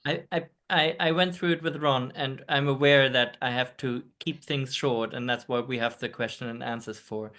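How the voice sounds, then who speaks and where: A man's voice: medium pitch, steady tone, normal speed, hardly any pauses, pushed and bright. Man from Germany, hesitant earnest presenter on a video call. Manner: hesitant, many ums, earnest.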